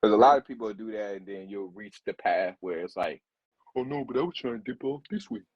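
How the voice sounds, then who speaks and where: A man in his twenties chatting casually, animated, stumbling over repeated words. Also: on the low side, brisk, slightly rough, dry recording. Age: 20s. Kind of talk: casual conversation. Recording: dull lo-fi sound.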